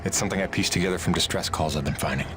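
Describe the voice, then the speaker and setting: A man playing a steady, weary rig pilot who leads a rebellion in a game cutscene, plain-spoken and reassuring. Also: low-pitched, very fast, noisy background, close to an everyday voice. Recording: loud music behind the voice.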